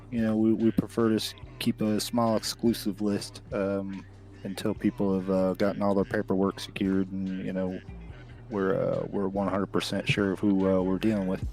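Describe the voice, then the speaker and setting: A man playing a laid-back cannabis dealer in an improvised game roleplay, chatting in a halting, slangy way. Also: lowish voice, darkish tone, natural voice. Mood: easygoing. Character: laid-back male cannabis shop businessman in crime roleplay. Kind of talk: improvised roleplay, casual chat.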